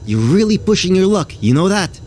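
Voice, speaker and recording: A man's voice: high-pitched, very animated, a little fast, dark tone, a little hiss or hum. A man playing an exasperated, anxious host of a nightmare game show in an audio drama, sarcastic and showy. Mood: indignant.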